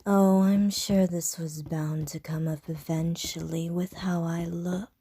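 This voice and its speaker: A woman voicing a seductive, teasing ancient female vampire in an ASMR audio roleplay, speaking in a soft, breathy whisper. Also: lowish voice, level tone, a little slow, no room sound.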